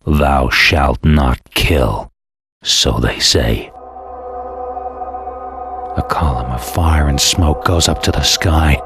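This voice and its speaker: Super deep brooding game antihero, damned and bitter, dramatic narration over loud music. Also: male voice, slow pace, long pauses, breathy edge, exaggerated voice. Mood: bitter despair. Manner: brooding, intense, theatrical. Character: damned, vengeful male antihero, bitter and doomed, recounting his fate. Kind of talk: dramatic first-person game cutscene narration.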